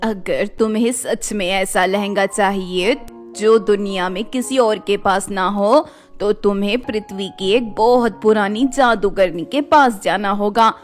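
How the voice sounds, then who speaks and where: A woman voicing an old fairy in a cartoon tale, giving mysterious advice in a measured, knowing manner. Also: mid-range voice, soft background music, voice pushed down, natural voice.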